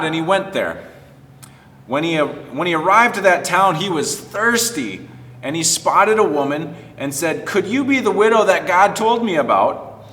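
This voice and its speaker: A man's voice: on the high side, pushed and bright. A man speaking as a pastor in a casual children's storytime, explaining things simply. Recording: reverberant, echoing room.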